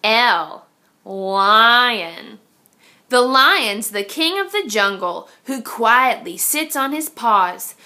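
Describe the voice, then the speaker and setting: A woman reading a rhyming picture book aloud to young children in an expressive, deliberate, sing-song manner. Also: a little high.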